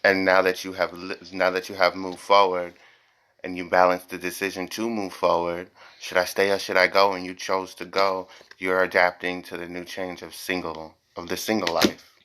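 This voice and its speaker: A man's voice: low-pitched, level tone, normal speed, bright, forceful tone, clear, resonant tone. A man giving an informal tarot reading to viewers, speaking bluntly and repeating phrases for emphasis. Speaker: tarot reader, host.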